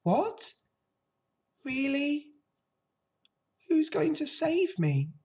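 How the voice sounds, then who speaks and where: An anxious young boy pig from a storybook, acted out by a woman, with a very high voice that swings about. He is childish and emotional, quick to plead and wail. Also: big pitch swings, darkish tone, exaggerated voice. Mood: hopeful. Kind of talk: storybook character voice.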